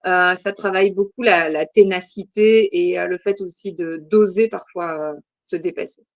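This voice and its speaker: A woman's voice: on the low side, normal speed, no echo, muffled recording. Woman, forties, from Belgium, podcast guest, hesitant, many fillers, dry close sound. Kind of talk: casual interview answers.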